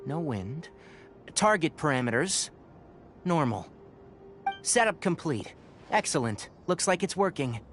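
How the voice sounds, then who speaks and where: A precise, higher-pitched young male scholar. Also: sing-song, over music. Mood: focused. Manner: precise, explanatory, unhurried. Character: calm young male scholar inventor, clinical, matter-of-fact. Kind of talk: video game voice acting.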